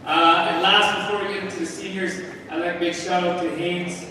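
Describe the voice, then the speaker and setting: Coach joking in an echoey gym. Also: male voice, high-pitched, flat delivery, brisk, pushed and bright, slight background noise. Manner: joking, informal, many "uh" fillers. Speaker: head basketball coach. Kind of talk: senior night speech to an audience. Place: large school gym. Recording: echoey gym from far off with crowd.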